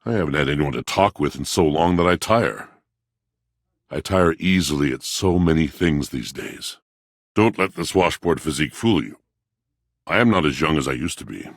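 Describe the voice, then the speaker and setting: A man playing an aging, lovelorn washing machine in a video game, with a gravelly voice and a solemn, theatrical, flowery manner. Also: lively intonation, normal speed, dry recording, clean studio sound, exaggerated voice. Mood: weary, wounded. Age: older. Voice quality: deep, gravelly. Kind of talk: video game character acting.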